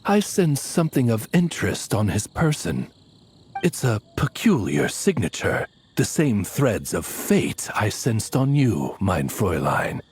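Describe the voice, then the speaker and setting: Courteous, expressive low-voiced attendant. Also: male voice, a little hiss or hum. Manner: polite, measured, eloquent. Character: courteous, formal male attendant to a grandiose young lady. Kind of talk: animated game voice acting.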